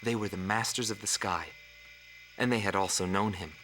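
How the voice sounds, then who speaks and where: An actor playing a sober documentary narrator, speaking in a measured, solemn way. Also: male voice, lowish voice, steady tone, fairly bright tone, a little hiss or hum, natural voice, low-quality recording. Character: sober documentary narrator following a war pilot's trail.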